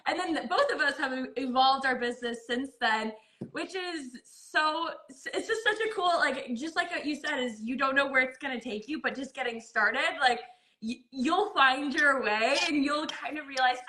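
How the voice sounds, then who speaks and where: Bubbly young female host, high and bright, laughs easily. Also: moderate pace. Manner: bubbly, laughs often, says "like" a lot. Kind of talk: casual live-stream chat.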